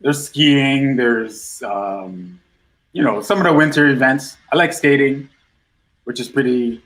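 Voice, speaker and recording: Middle-aged man from Guyana, easygoing podcast guest, halting delivery. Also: medium pitch, fairly bright tone, slight background noise. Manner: laid-back, repeats himself, halting with fillers. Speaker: guest hip hop artist. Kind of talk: casual interview chat. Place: podcast studio.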